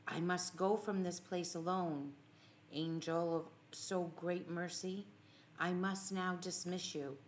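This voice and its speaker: A woman's voice: fairly low pitch, steady tone, takes it slowly, slight background noise. A woman voicing a solemn, resolute man in anguished prayer, grave and poetic, in an audiobook. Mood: gentle resolve.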